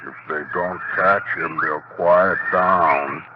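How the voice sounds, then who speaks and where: Huge creepy backwoods new occultist, super slow deep voice, brooding, old muffled radio horror. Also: male voice, fairly even intonation, very noisy. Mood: eerie anticipation. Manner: ponderous, intense, rustic phrasing. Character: eight-foot uncouth rural occultist, brooding and ominous. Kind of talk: 1940s radio horror acting. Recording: muffled 1940s radio recording, loud music.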